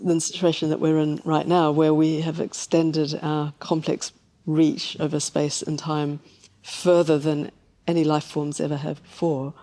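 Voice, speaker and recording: A woman speaking as a scientist guest in an interview, explaining things in a measured way. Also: sits low, level tone, mellow.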